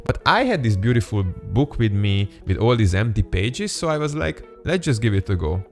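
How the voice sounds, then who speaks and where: A man's voice: fairly low pitch, big pitch swings, normal speed, faint music behind. A young man whose first language is Hungarian, talking earnestly and upbeat to camera in a casual vlog, with small laughs and restarts. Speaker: vlogger. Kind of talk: casual direct-to-camera talk.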